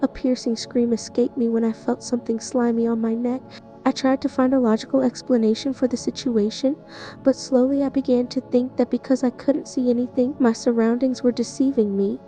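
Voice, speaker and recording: A woman narrating a first-person horror story in a tense, even manner. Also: a little high, a little fast, faint music behind. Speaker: narrator playing the listener's character.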